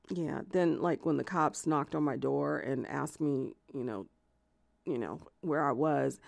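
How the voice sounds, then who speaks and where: A woman's voice: fairly low pitch, gravelly, bright, forceful tone, dry recording, studio quality, heavily acted. A woman playing a jilted, obsessive lover in an audio theatre monologue, confessing in a hesitant, conversational ramble with self-justifying, indignant edges. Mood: evasive. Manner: hesitant, filler-strewn rambling that flares into swearing indignation.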